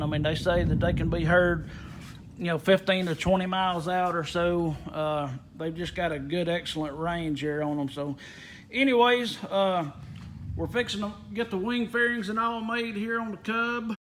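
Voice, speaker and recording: A man's voice: high voice, fairly even intonation, moderate pace, a bit bright, slight background noise. A man giving a casual hands-on walkthrough in a folksy, rambling way. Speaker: aviation vlogger. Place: inside a small plane at an airport.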